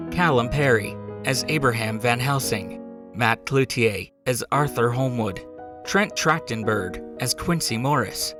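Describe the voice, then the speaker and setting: A podcast host reading a scripted outro and credits. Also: mid-range voice. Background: music.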